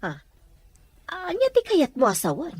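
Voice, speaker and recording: A woman with a husky voice playing an indignant mother in a drama. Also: high voice, wildly swooping pitch, moderate pace, a little mellow, full character voice. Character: indignant mother defending her child. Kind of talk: acted drama dialogue.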